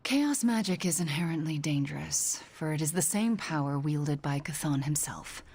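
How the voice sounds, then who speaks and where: A woman playing a guilt-ridden, determined young sorceress in a video game cutscene, speaking softly and earnestly with breathy phrase endings. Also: low-pitched, expressive, moderate pace, heavily acted. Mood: solemn.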